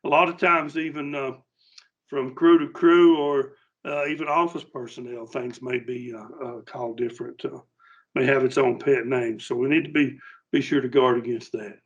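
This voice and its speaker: Middle aged guy doing a safety training talk, folksy and kinda halting, medium pitch, bit muffled recording. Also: steady tone, breathy edge.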